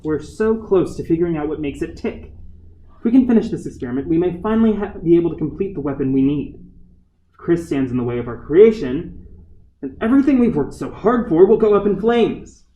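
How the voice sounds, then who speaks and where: A man's voice: on the high side, lively intonation, a little fast, warm tone, echoey room, highs cut off, natural voice. A man playing a ruthless, domineering scientist in an amateur radio play, speaking in a stern, commanding way. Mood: resentful, scheming. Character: ruthless, domineering male military scientist, cold and obsessive.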